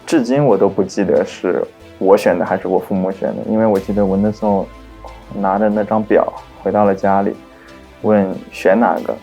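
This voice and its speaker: A man from China, a chess player calmly reminiscing about his childhood in an interview. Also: fairly low pitch, a little fast, soft background music. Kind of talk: documentary interview.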